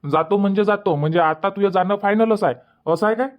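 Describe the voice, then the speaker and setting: Man as a high-voiced, worried village husband. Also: quick pace, close to an everyday voice. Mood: disbelieving. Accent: Vidarbha Marathi. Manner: questioning, protesting. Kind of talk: cartoon comedy dubbing.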